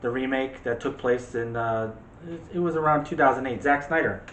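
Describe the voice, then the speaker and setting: A man's voice: medium pitch, fairly quick, fairly bright tone, slight background noise. A man hosting a movie discussion show in a casual, chatty and opinionated way. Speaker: host of a movie review show. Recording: echoey room.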